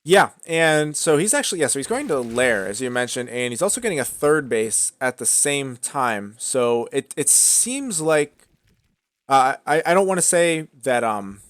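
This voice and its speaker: A young man casting a strategy game match in a relaxed, chatty way, with frequent fillers and restarts. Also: mid-range voice, measured pace, no room sound. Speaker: esports caster. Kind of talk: casual play-by-play commentary.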